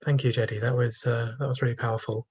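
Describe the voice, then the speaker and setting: A man hosts a poetry showcase in an informal, courteous style. His voice sits at an average pitch, and he speaks somewhat hesitantly as he moves the event along. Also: flat delivery, quick pace, darkish tone, slightly rough, lo-fi sound.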